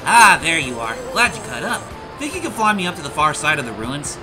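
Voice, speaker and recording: Cartoon hero, female performer: flippant, impatient young male hedgehog, lowish for a woman, hugely animated. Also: a little fast, music in the background. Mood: cocky. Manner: sarcastic, flippant. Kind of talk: animated comic dub.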